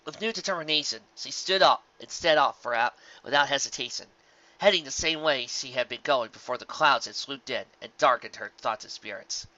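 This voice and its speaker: A man reading a fan fiction story aloud as narrator, in an expressive, dramatic scripted style. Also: mid-range voice, fairly quick, no room sound, dull audio.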